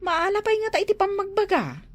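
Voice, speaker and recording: A woman's voice: very high-pitched, big pitch swings, a little fast, no room sound. A woman with an Ilocano accent playing an outspoken, nagging grown daughter in a comedy drama. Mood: disapproving.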